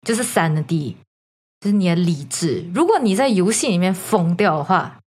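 A woman's voice: on the low side, animated, normal speed, a little mellow, husky. A woman podcast host telling a horror story in a casual, chatty way, checking in with her listeners and dropping in English words.

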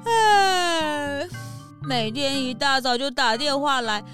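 A woman's voice: high-pitched, takes it slowly, a bit bright, faint music behind. A woman voicing a cheeky, bouncy young detective's assistant in a children's audio drama, with a childlike, whiny edge. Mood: grumbling.

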